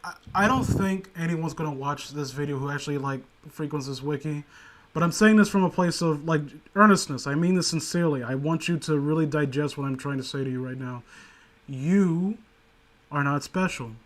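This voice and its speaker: Higher-voiced man, rambling and sarcastic. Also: moderate pace. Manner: rambling, sarcastic, crude humour. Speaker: co-host of a comedic commentary show. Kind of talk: casual comedic commentary.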